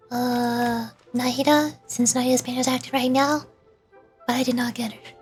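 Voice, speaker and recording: A woman doing casual live game commentary and chatting with viewers in a playful, self-deprecating way. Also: sits high. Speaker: VTuber game streamer. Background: game music.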